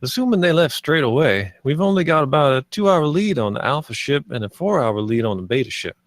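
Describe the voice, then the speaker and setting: A man plays a pragmatic space crewman in a sci-fi script read. His mid-range voice is lively in pitch, wary and practical, and recorded dry and close. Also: brisk, dry recording. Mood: worried, firm. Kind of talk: screenplay table read acting.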